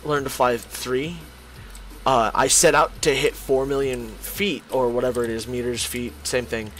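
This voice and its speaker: A man with a voice worn hoarse and strained from a long session talks to his viewers as he draws. His pitch sits in a middling range, and he rambles and thinks out loud in a loose, conversational way. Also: steady tone, a little slow, faint music behind. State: hoarse, strained from two hours of talking. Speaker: YouTuber drawing on stream. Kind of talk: casual commentary while drawing.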